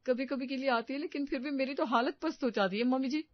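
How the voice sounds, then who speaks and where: A woman's voice: high voice, quick pace, no echo, highs cut off, natural voice. A woman voicing a put-upon young sister-in-law in a story, complaining with sarcasm. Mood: sarcastic.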